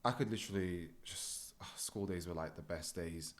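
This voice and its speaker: Young male host, low-pitched, loose and chatty. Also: normal speed. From not born in the UK. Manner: relaxed, rambling with many fillers. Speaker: podcast host. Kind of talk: casual chat.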